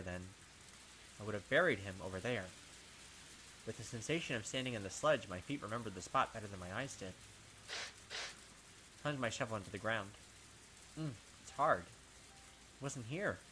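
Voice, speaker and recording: Bright-voiced young man reading a game. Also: medium pitch, a little hiss or hum, low-quality recording. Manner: dramatic first-person reading broken by excited asides. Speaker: let's play gamer. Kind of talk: reading a visual novel aloud with commentary.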